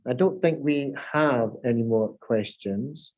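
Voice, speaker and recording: A man's voice: medium pitch, slow pace, dull audio. A man with a Glaswegian Scottish accent, hosting a webinar panel in a casual, chatty way with loose restarts, heard over a video call. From Glasgow, Scotland. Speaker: webinar host.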